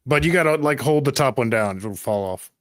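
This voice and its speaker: An easygoing young amateur musician, played by a man at a medium pitch with lively swings. He is modest and agreeable, talking casually as a tabletop roleplay character in a close, dry recording. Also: fairly quick, no echo, close to an everyday voice. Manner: casual, self-effacing.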